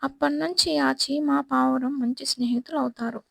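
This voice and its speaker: A woman's voice: sits high, fairly even intonation, moderate pace, creaky, dry recording. A woman storyteller reading a scripted children's moral tale as narrator.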